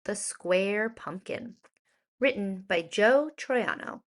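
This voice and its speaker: A woman reading a rhyming children's story aloud in an expressive, deliberate storytelling manner with emphasized words. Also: mid-range voice. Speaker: children's storybook reader, educator.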